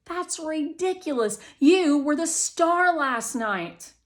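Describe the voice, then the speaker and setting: A woman's voice: high voice, animated, slow, pitched above the performer's own voice. A woman playing a feisty, supportive young female helper in a children's story, emphatic and no-nonsense. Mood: rallying. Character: supportive, feisty young female aide giving pep talks.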